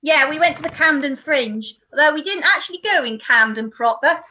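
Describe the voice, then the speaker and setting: A woman telling a stage anecdote in an animated way, acting out moments as she goes, on a video call. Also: high-pitched, a little fast, lo-fi sound, a little hiss or hum. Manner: animated storytelling, acts out moments. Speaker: actor.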